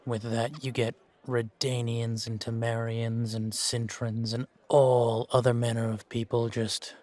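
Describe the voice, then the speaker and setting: Here is a man acting out a weary monster hunter from a fantasy audio drama. He talks slowly and sparely at a middling male pitch, with very little rise or fall. His manner is gruff, dry and aloof, deadpan even when he jokes. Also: lightly acted. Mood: resigned. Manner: deadpan, unhurried, laconic. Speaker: voice actor in fan-made audio roleplay. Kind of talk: slow in-character audio roleplay.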